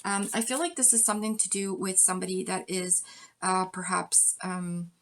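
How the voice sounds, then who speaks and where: A woman's voice: mid-range voice, steady tone, moderate pace. A woman giving a pick-a-card tarot reading, speaking straight to the viewer in a coaching tone with frequent ums. She is losing her voice. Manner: warm direct address with many ums, coaching tone.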